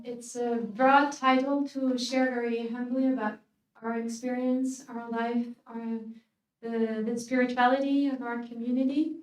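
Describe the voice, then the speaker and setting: A religious sister from France with a French accent, giving a humble, hesitant faith talk with many fillers. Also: female voice, a little high, darkish tone. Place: lecture hall. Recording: echoey hall, speaker far from the mic.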